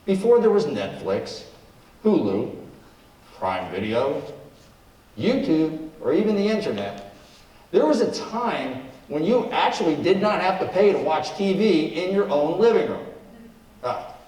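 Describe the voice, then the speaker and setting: A man's voice: on the high side, sing-song, a little slow, long pauses, darkish tone. A man hosting a live show with a scripted, theatrical introduction. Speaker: live show host and performer. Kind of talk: scripted stage introduction and comic patter. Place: live in a studio before an audience. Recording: roomy, distant microphone, noisy stage recording.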